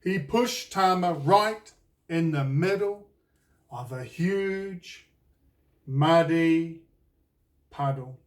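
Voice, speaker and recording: A man with a New Zealand accent reading a children's storybook aloud in a deliberate, expressive storytelling way. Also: fairly high pitch, recorded from a distance. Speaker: children's author reading his own book. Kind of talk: scripted storybook narration for children.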